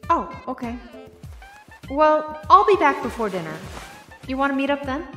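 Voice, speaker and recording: A woman's voice: sits high, big pitch swings, moderate pace, a bit bright. Woman playing a friendly flustered young lecturer in an audio drama, echoey hall, music behind her. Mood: friendly, eager. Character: friendly, flustered young female university teacher.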